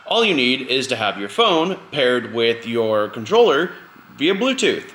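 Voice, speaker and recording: A man's voice: mid-range voice, a bit bright, echoey room, slight background noise. A man hosting a casual step-by-step tutorial in an informal, conversational way. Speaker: video host giving a drone tutorial.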